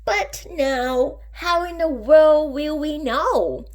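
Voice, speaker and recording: A woman reading a storybook, voicing snooty, excitable beach creatures who exclaim indignantly and boastfully. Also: high-pitched, slow pace. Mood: whining, indignant.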